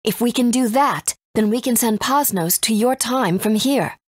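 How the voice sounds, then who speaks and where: A woman's voice: fairly high pitch, moderate pace, no room sound, lightly acted. A woman playing a composed, authoritative guide in a video game, serious and explanatory. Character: composed, authoritative woman guiding young heroes.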